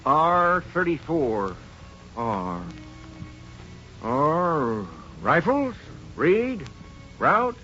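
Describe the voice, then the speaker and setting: A man playing a folksy, excitable, puzzled cowboy sidekick in a 1950s radio western. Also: a little high, extremely slow, pauses often, soft background music, exaggerated voice. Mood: puzzled, excited. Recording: muffled old radio recording.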